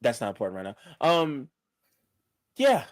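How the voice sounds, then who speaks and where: A man's voice: fairly low pitch, unhurried, dry recording. A man playing a tabletop game, chatting in an informal, tentative, improvised way. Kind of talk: casual in-character improvised chat.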